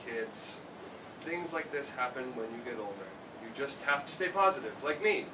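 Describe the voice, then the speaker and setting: A man's voice: medium pitch, expressive, moderate pace, natural voice. A man playing a playful, sentimental old father with a teasing manner in a staged play reading. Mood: wistful. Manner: teasing, chuckling. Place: theater stage, recorded from the audience. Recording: distant, echoey, noisy live theater recording.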